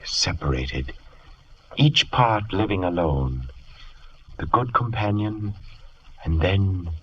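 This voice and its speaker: An actor playing a brooding, absent-minded Victorian gentleman scientist in a theatrical old radio drama style. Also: low voice, sing-song, slow, slightly rough, muffled recording, full character voice. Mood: brooding, solemn.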